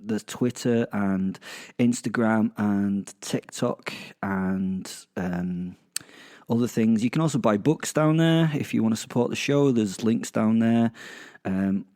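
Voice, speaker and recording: A man's voice: fairly low pitch, warm tone. A man playing a chatty, irreverent werewolf who narrates a comic horror audio drama in rambling, self-interrupting asides. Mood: sheepish, rambling. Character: irreverent, chatty, starstruck male werewolf killer. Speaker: narrator and host. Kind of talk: first-person comic horror monologue. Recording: music behind the voice.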